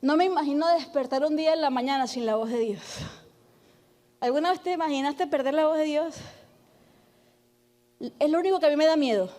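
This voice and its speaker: A woman pastor preaching a sermon, emphatic and loud, calling on the congregation to repeat after her. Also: sits high, a little fast, pauses often. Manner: shouting, emphatic preaching with call-and-response.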